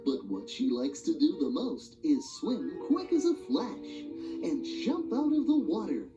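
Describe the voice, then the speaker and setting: A woman reading a rhyming children's animal alphabet book aloud in a sing-song, expressive way. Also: lowish voice, unhurried. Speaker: storybook reader. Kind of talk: children's read-along narration. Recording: dull lo-fi audio with music behind.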